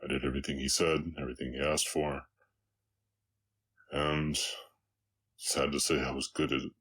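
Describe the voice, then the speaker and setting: A man playing a gentle, brooding orc ranch hand with a Southern US accent, talking in a halting, solemn drawl in an intimate ASMR roleplay. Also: rumbling low, level tone, slightly rough, full character voice. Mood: haunted, regretful. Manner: halting, subdued, solemn drawl with long pauses. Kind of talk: ASMR romance audio roleplay, intimate confessional storytelling.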